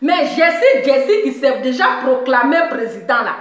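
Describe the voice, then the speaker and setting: Scolding high-voiced woman, very echoey room. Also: animated, measured pace. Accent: Central African French. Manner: scolding, laughing, repeats phrases. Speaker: live-stream blogger. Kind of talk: mocking live-stream rant.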